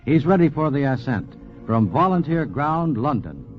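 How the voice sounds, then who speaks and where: Lively dramatic vintage radio narrator, male. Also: on the high side, soft background music. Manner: dramatic, deliberate emphasis. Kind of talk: 1940s radio documentary narration, scripted and dramatic. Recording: dull 1940s radio recording.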